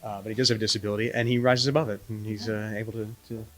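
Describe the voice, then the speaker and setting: Fast-talking, lowish-voiced man interviewed. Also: level tone, a bit bright. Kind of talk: conversational interview.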